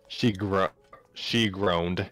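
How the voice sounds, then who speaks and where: A man's voice: deep voice, steady tone, very slow, a bit bright. A man narrating a story live on a stream, often stumbling and repeating phrases as he reads aloud. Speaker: narrator, livestream reader. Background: background music.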